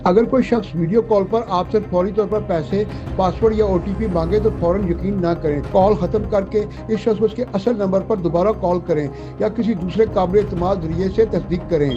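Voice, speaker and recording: A man with a Pakistani accent narrating a scripted news explainer in a measured, formal, instructive way. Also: high voice. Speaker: news presenter. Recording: dull lo-fi audio with music. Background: prominent background music.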